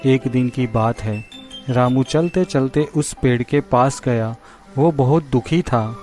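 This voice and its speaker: Man narrating a childrens tale, really slow simple delivery, music playing under him. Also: medium pitch, darkish tone. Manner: simple, measured storytelling. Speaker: storyteller. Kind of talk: scripted children's story narration.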